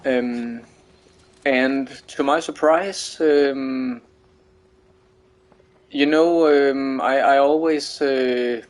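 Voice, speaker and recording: A man with a Danish accent commenting on a video game he is playing. He talks slowly and hesitantly, with frequent pauses and restarts, in a voice of middling pitch. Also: steady tone. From Denmark. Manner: hesitant, full of fillers and restarts. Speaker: streamer. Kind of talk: let's play commentary.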